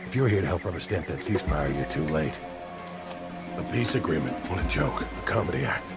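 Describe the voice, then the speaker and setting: Loud music plays behind a deep-voiced man. a ruthless, world-weary mercenary zealot from a video game, menacing and fatalistic. He speaks in a grim, deliberate way. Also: lively intonation. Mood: menacing, bitter. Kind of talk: video game cutscene acting.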